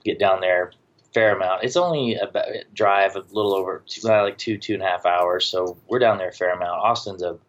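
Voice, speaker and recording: A man's voice: low voice, steady tone, brisk, highs cut off. A middle-aged man from the Southern United States chatting casually as an interview guest, with a dry, joking manner. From Southern United States, born in Tennessee. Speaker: podcast guest, barbecue business owner.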